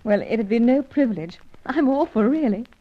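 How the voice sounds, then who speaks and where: An actress playing a shy, modest young woman who speaks softly and hesitantly in an old radio drama. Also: fairly high pitch, lively intonation, normal speed. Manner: soft, hesitant, demure. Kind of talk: 1950s radio drama acting. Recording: dull old radio recording.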